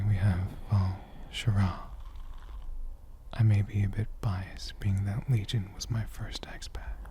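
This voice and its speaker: A man in a dragon persona, whispering softly and rambling fondly as he answers viewer questions for an ASMR video. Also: fairly low pitch. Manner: soft-spoken, rambling, fond.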